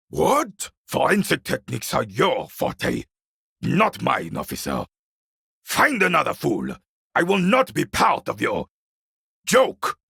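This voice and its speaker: Gravelly, bitter old war veteran. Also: male voice, medium pitch, pushed and bright, dry recording, clean studio sound, full character voice. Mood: indignant, forceful. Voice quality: gravelly, raspy. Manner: gruff, scornful, theatrical, barking at younger men. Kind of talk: video game character dialogue.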